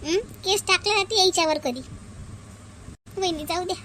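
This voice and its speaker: Performer doing a very high-pitched, plaintive village woman. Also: normal speed, sharp tone, slight background noise. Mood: worried, indignant. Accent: rural Vidarbha Marathi. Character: two village sisters-in-law, aggrieved young wife and protective visitor. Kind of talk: melodramatic cartoon family dialogue.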